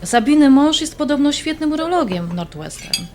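A woman's voice: sits high, very animated, brisk, mellow, slight background noise. Woman acting coaxing wife, faint cutlery behind. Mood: hesitant, worried. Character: caring, hesitant wife confiding in husband. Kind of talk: naturalistic audio drama acting. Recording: faint clinking restaurant background. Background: cutlery and dishes clinking.

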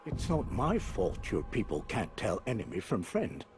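A man's voice: fairly low pitch, wildly swooping pitch, normal speed, darkish tone, soft background music. A man playing a scheming envoy in a video game, formal and unctuous. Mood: falsely courteous. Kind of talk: dramatic video game cutscene acting.

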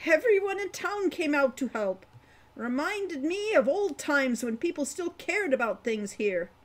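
A man's voice: extremely high pitch, sing-song, measured pace, fairly bright tone, pitched above the performer's own voice, exaggerated voice. A woman voicing a gloomy, folksy old male dwarf who rambles and grumbles, in a storybook reading. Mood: wistful, mournful.

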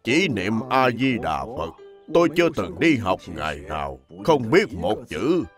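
Casting: humble old monk for a cartoon , played by a man, lively intonation, patient plain-spoken teaching, music underneath. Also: lowish voice, unhurried. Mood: earnest teaching. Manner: didactic, plain, repeats instructions simply. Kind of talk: dubbed animated religious biography.

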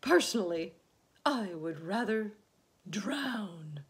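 A woman voicing a gloomy, irritable male earthworm who complains in loud, emphatic protest in a children's storybook. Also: mid-range voice, animated, slow, slightly rough, voice pushed up, exaggerated voice. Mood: gloomy, wailing. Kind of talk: children's storybook read aloud.

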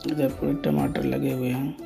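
A man's voice: medium pitch, level tone, normal speed, gravelly, over music. A man narrating a casual village vlog, pointing things out as he goes. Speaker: village vlogger. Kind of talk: casual vlog narration, showing around a farm.

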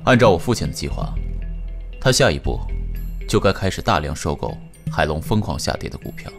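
An actor playing a composed young company heir who talks in a calm, measured, authoritative way. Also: male voice, deep voice, creaky, soft background music, full character voice. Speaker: company head in an audio drama. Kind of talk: amateur audio drama acting.

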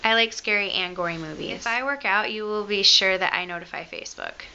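Young woman, Michigan accent, bright forceful voice, dull hissy home video. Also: medium pitch, fairly quick, clear, resonant tone. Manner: chatty, self-mocking, rambling asides. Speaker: beauty vlogger. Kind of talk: casual chat to camera. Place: bedroom at home, indoors. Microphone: camera close to the face.

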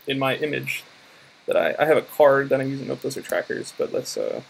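Casting: male visual effects artist, mid-pitched voice, informal and hesitant, rambling through a work-in-progress walkthrough. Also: expressive, fairly quick, gravelly, a little hiss or hum, low-quality recording. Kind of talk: casual screen-recording walkthrough.

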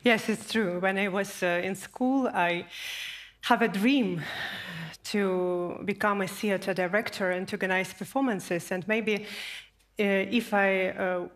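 A woman in her thirties from Ukraine with a Ukrainian accent, answering questions in a measured, deliberate way on a conference stage. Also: medium pitch, steady tone. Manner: measured, deliberate, non-native phrasing. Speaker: human rights lawyer, guest. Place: large conference stage before an audience. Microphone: headset mic.

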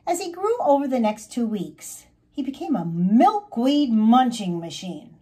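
A woman reads a children's storybook aloud in an animated, swooping voice. She takes her time, stretching key words for emphasis, and her tone has a husky edge. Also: on the high side, unhurried. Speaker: children's author reading aloud. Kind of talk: expressive storybook narration.

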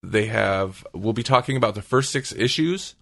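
A man's voice: fairly low pitch, lively intonation, moderate pace, vocal fry, fairly bright tone. A man hosting a podcast, talking casually and conversationally with his co-hosts. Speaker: podcast host.

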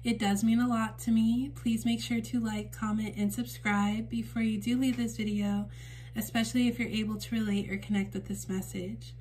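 A woman with a temporarily raspy voice giving a casual spiritual card reading to camera at home, gentle and reassuring with frequent fillers. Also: a little high, level tone, moderate pace, slight background noise. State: raspy voice for a few days. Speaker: tarot reader and channel host. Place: indoors at a table in a home room.

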